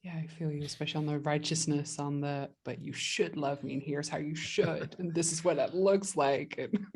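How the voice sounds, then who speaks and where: A woman's voice: sits low, fairly even intonation, normal speed, a little mellow. A woman hosting a podcast, speaking conversationally in a candid, self-reflective manner. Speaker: podcast host.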